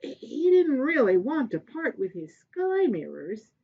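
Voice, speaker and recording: A woman reads a story for children in an expressive storyteller's style. Her fairly high voice rises and falls widely, and she takes her time with deliberate pauses. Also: slightly rough, phone-quality sound. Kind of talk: animated children's story reading.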